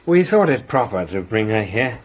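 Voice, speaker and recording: A man's voice: on the low side, big pitch swings. An actor playing a hesitant local police official who stumbles over his words. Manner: hesitant, halting with ums. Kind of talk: radio drama acting.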